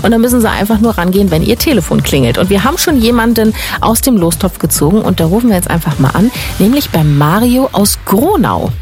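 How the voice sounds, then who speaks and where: A woman's voice: mid-range voice, lively intonation, fast talker, hardly any pauses, darkish tone, soft background music. A woman co-hosting a morning radio show, chatting cheerfully with a caller during a giveaway. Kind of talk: upbeat radio giveaway chat. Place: radio studio.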